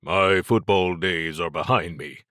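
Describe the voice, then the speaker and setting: A man's voice: low voice, unhurried, a bit bright, no room sound. A man voicing a weary, guarded male federal agent with a terse, dry way of talking in an audiobook. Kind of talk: audiobook character dialogue.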